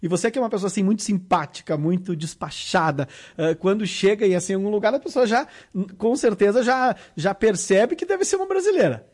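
An interviewer asking questions in a calm, conversational way. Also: mid-range voice, brisk, hardly any pauses.

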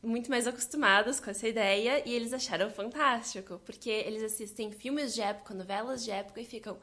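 A young woman from southern Brazil, answering interview questions in a lively way and laughing often. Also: on the high side, a little fast. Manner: lively, laughs often, quotes others' reactions. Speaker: guest.

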